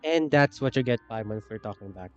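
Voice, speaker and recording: A man's voice: medium pitch, animated, fairly quick, highs cut off. A man giving casual live gameplay commentary, musing aloud and repeating his phrases. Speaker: game streamer. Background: game music.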